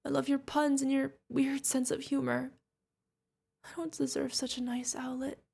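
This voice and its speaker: A woman's voice: high-pitched, monotone, moderate pace, vocal fry, mellow. A woman playing a fierce yet tender witch mentor who is protective of an adopted pupil, in an emotional fantasy audio roleplay. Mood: tender, worried. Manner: emotional, commanding, affectionate, pleading. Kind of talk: ASMR fantasy roleplay acting.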